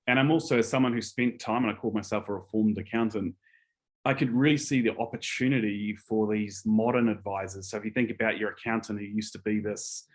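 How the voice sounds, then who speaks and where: Lowish-voiced New Zealand man, casual and keen. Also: fairly even intonation, a little fast. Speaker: business executive, interview guest. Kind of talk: casual, earnest interview talk.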